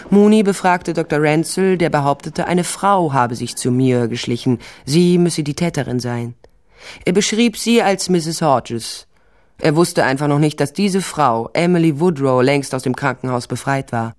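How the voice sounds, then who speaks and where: An actress playing a determined, wry private investigator, narrating a crime drama in the first person, dry and matter-of-fact. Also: female voice, low-pitched, moderate pace, a little mellow, lightly acted. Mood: sober, dry. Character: resolute, wry female private investigator narrating her case.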